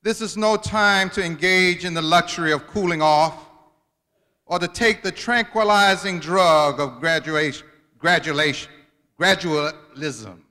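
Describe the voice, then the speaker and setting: Bright, ringing high-voiced man orating in a hall. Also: a little slow. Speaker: guest reader at a commemorative event. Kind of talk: dramatic speech reading, oratory. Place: large indoor hall at a college event. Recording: reverberant hall, long echo.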